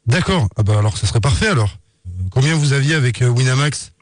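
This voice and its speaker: A man's voice: medium pitch, animated, quick pace, dry recording, clean studio sound, close to an everyday voice. A man playing a polite, insistent marketing assistant for a betting company on a prank phone call, coaxing with hesitant sales talk. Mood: negotiating, coaxing. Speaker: prank caller.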